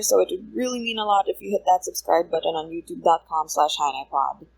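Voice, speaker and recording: A woman's voice: mid-range voice, no echo, rough recording. Upbeat woman podcaster, dry close sound. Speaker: podcast co-creator reading credits. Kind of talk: friendly scripted outro announcement.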